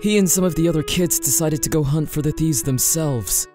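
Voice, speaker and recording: A woman's voice: deep voice, brisk, dark tone, soft background music, natural voice. A woman acting a tired, worried elf father in an audio drama. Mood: relieved, tender.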